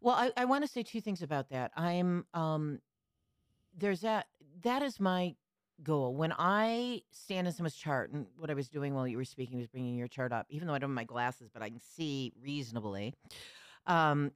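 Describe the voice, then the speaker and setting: A woman's voice: low voice, normal speed, clear, resonant tone, no echo, studio quality. A middle-aged or older woman, an astrologer, telling stories reflectively in a conversational interview. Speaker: astrologer, podcast guest.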